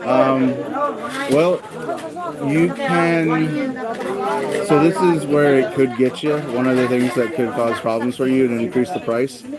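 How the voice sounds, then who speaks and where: A man in his thirties chatting casually as a vlogger, unhurried and hesitant with lots of ums and uhs. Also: mid-range voice, steady tone. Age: 30s. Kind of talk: casual chat about living costs abroad. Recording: loud crowd chatter in a large hall.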